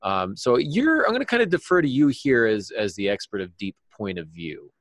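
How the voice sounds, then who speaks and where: A man's voice: mid-range voice, very animated, normal speed, fairly bright tone, no echo. A man co-hosting a podcast, chatting casually in a rambling, self-deprecating way. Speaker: podcast co-host and author. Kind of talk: casual chat.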